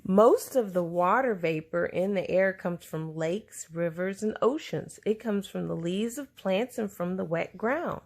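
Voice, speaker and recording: A woman reading a children's science picture book aloud, phrasing it simply and deliberately for a young listener. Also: medium pitch, animated, a little hiss or hum.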